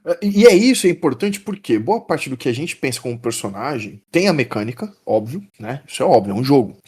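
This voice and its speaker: Animated husky Brazilian man. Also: mid-range voice, brisk. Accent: Brazilian Portuguese. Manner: animated, laughs often, swears casually. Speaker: podcast host. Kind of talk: casual roundtable chat.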